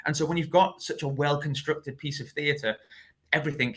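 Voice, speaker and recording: A man with a bright, forceful voice talks over a call. He speaks earnestly and thoughtfully as an interview guest. He often repeats and restarts words as he goes. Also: fairly high pitch, fairly even intonation, moderate pace. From Liverpool, England. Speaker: stage actor, interview guest. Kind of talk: conversational interview.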